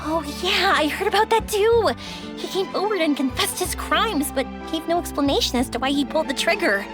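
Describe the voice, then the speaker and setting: A bubbly young woman from a video game, high and very lively in pitch. She sounds eager and girlish, with music prominent behind her. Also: fairly quick. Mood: concerned.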